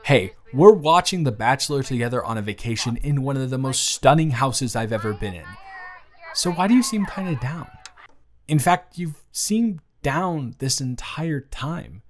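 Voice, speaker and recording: A man's voice: mid-range voice, expressive, moderate pace, slight background noise, natural voice. A young man playing a teasing, affectionate best friend of someone's brother in a casual, slangy romantic roleplay audio drama. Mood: concerned.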